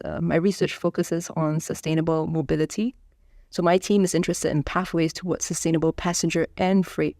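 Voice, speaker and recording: A woman, explaining her research in a measured way with frequent fillers and restarts. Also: sits low, darkish tone, no room sound, clean studio sound. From Singapore. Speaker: engineering researcher. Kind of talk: explanatory interview talk.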